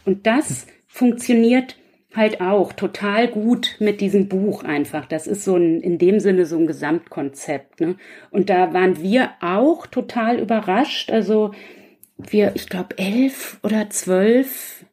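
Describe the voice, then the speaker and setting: A woman with a medium-pitched voice chats as a guest on a podcast. She explains in a loose, conversational flow, moving from one thought to the next. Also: unhurried, dark tone. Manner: chatty, loosely structured, explanatory. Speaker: guest author. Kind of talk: casual podcast chat.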